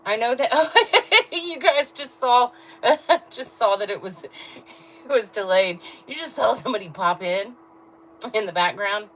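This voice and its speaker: A woman with a low voice chatting with viewers on a livestream, blunt and laughing often. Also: lively intonation, unhurried, bright, forceful tone, a little hiss or hum. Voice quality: low voice register. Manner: blunt, talks straight to chat, laughs often. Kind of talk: casual livestream chat with viewers.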